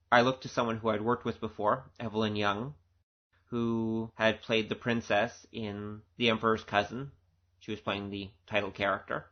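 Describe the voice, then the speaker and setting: A man speaking as an audio drama producer, giving an informal, earnest and conversational director's commentary. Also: medium pitch. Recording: dull, lo-fi recording.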